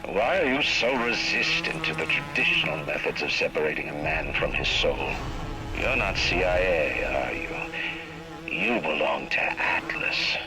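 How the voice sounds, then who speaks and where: An actor playing a middle-aged, cold and proud industrialist ruler in a video game, speaking in formal, pompous, deliberate monologues. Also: male voice, very deep voice, heavily acted, poor audio. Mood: taunting menace. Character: imperious male industrialist ruler of an undersea city, cold and proud.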